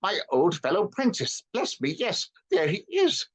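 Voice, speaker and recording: A man's voice: high-pitched, unhurried, husky, pitched above the performer's own voice, exaggerated voice. A man voicing an old miser who is stirred by memories, in a storybook reading. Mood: excited. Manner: excited, wistful. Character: old miser Scrooge, moved and nostalgic.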